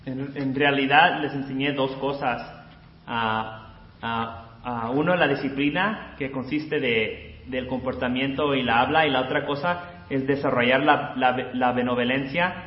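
A man's voice: medium pitch, steady tone, moderate pace. A man interpreting a sermon live, hesitant and full of fillers and restarts. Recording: roomy lo-fi recording with faint noise.

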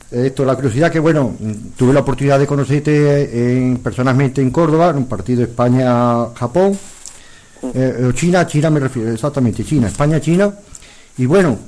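A man's voice: medium pitch, a little mellow. Friendly rambling man asking a question. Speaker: football club fan group president. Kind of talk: guest question on radio.

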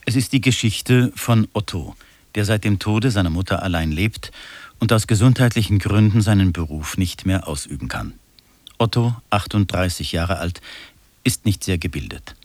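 A man introducing a radio play in a measured, formal announcer style. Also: deep voice, animated, dry recording.

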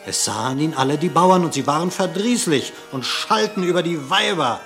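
A man narrating a verse fable theatrically, with dramatic, expressive declamation. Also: on the high side, moderate pace. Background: music.

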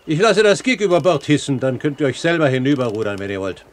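Actor as a commanding pirate captain, animated delivery. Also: male voice, mid-range voice, close to an everyday voice. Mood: calm, authoritative. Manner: formal, measured, articulate. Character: self-assured male pirate captain, commanding yet courteous great-uncle. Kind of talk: German radio play acting. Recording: 1970s radio recording, faint hiss.

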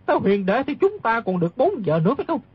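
Agitated old zither master in a radio wuxia tale, high husky voice, dull sound. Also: male voice, big pitch swings, fast, heavily acted. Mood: anxious, urgent. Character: old zither-playing martial man, excitable and anxious. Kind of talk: dramatised audiobook reading.

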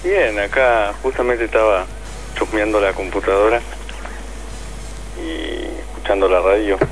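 A man's voice: fairly low pitch, a little slow, slight background noise. A man with an Argentine accent calling in to a radio show, chatting casually and somewhat hesitantly. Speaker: phone-in caller. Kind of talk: casual chat. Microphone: telephone call. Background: clear music.

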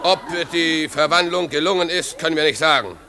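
An actor playing a nervous, reluctant man giving a stiff, halting, polite speech in a 1950s radio play. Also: fairly high pitch, very noisy, close to an everyday voice. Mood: nervous, formal. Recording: 1950s radio recording with party crowd. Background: crowd of party guests.

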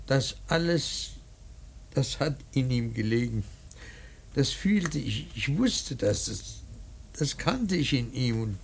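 Gravelly, husky grieving old father. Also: a little high, exaggerated voice. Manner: halting, emphatic, swelling from murmurs to outcries. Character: grieving old painter mourning his dead son, bitter, rambling. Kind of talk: theatrical German radio drama monologue.

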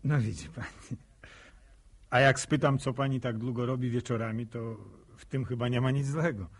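An actor playing a shy, curious young farmer in a radio drama, with speech that is hesitant, stammering and plain. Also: male voice, medium pitch, steady tone, normal speed, natural voice. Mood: awkward, earnest. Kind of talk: naturalistic radio drama acting.

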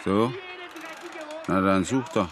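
Laconic, wry Comanche tracker. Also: male voice, low-pitched, takes it slowly, crowd noise. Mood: wry, haggling. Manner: laconic, questioning. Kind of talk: German radio drama dialogue. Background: music.

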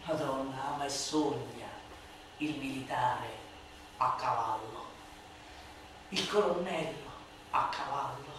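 A woman giving a theatrical, mocking, declamatory recitation of a satirical text, swinging between hushed slow phrases and loud sing-song. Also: rumbling low. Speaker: stage performer reciting a literary monologue. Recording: very echoey room, distant mic, light noise.

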